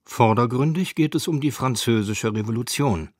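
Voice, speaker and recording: A man's voice: medium pitch, normal speed, dry recording, clean studio sound. A man reading scripted narration for a radio documentary.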